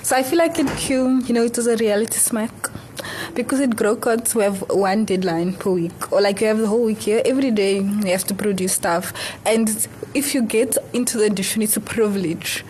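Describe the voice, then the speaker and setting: A woman's voice: mid-range voice, fairly quick, darkish tone, rough recording. Casting note: twenties woman from Cape Town, student reporter, chatty, faint room noise behind. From Cape Town, South Africa. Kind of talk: casual interview chat.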